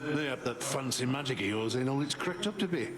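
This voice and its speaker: Man as a lively, cocky working man. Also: mid-range voice, a little fast, close to an everyday voice. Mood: skeptical. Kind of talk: video game acting. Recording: loud background noise, clicks.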